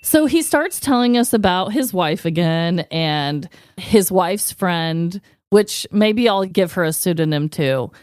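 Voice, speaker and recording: A woman co-hosting a podcast, telling stories in casual, animated, informal comedic chat. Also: on the low side, slow, clean tone, no echo. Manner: animated, informal, occasional swearing. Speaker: podcast co-host.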